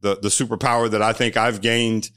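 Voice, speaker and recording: An American man in his thirties with a somewhat low voice tells his life story as a podcast guest. He speaks earnestly and candidly, often repeating a word or two before a sentence gets going. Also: flat delivery, a little fast, fairly bright tone, clean tone. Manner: earnest, repeats words when starting sentences.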